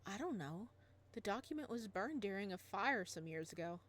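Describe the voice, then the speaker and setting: A cheerful, teasing woman friend in a visual novel, read out by a woman, brisk and businesslike as she lays out what she has found. Her voice bounces lively up and down and lifts higher when she is in a hurry. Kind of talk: reading a visual novel aloud.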